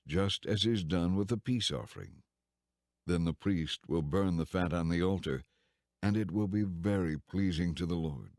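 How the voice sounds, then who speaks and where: A man narrating scripture from a script in a solemn, measured, formal way. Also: low-pitched, darkish tone, no echo, studio quality. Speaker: audiobook narrator.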